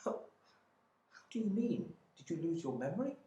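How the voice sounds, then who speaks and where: Man putting on a shrill, high-pitched distraught wife. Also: very animated, a little slow, mellow, highs cut off. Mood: shocked, pleading. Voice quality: screeching, high. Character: distraught, shrill English wife, demanding answers. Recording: roomy indoor echo.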